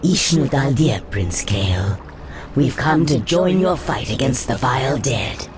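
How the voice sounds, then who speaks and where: An actress playing an imperious, seductive naga sorceress in a video game, with stately, insinuating formal speech. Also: female voice, rumbling low, animated, a little slow, a little hiss or hum. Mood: coolly persuasive. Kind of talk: dramatic video game cutscene acting.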